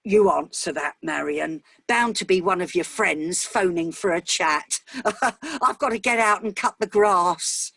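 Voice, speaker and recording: A woman's voice: lowish voice, unhurried, gravelly, fairly bright tone, no echo, full character voice. A woman voicing a bluff, easygoing husband who can turn anxious, in a story reading. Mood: bluff, teasing.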